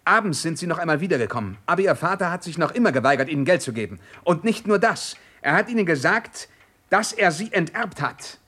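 Shrewd, commanding police inspector. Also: male voice, fairly high pitch, animated, a little fast, bright, forceful tone, breathy edge, full character voice. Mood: stern, accusing. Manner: probing, authoritative, dryly mocking. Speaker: actor in a crime radio drama. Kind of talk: German radio drama acting.

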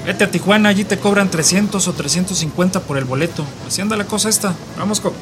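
An actor in a radio drama playing a hard-nosed, menacing Mexican migrant smuggler, talking bossy street slang in a northern Mexican accent. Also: male voice, high-pitched, fast talker, music in the background, natural voice. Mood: businesslike.

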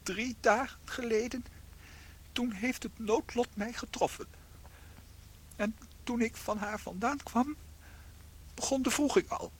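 A woman playing a tiny shrunken unfaithful husband in a radio play, theatrical, anxious and guilt-ridden, with a high husky voice. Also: measured pace, long pauses, slight background noise, close to an everyday voice. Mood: remorseful.

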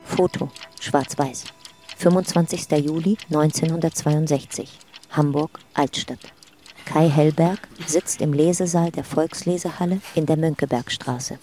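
A woman's voice: sits low, steady tone, measured pace, mellow. A woman narrating a radio drama in a sober, matter-of-fact documentary manner. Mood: sober, factual. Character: sober documentary narrator reading out photographs and dates. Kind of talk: scripted radio drama narration describing photographs and dates.

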